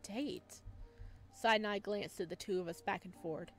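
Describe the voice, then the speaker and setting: A woman reading a visual novel aloud as a let's player, expressive and now and then stumbling over the words. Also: medium pitch, brisk, faint music behind. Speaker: video game let's player.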